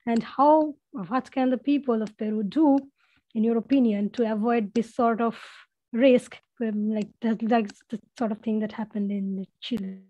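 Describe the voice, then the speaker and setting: Female panelist, young adult, slightly high and soft-toned, posing discussion questions, dry headset audio. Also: fairly even intonation, normal speed, dry recording, muffled recording. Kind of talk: political discussion. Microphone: headset on a video call.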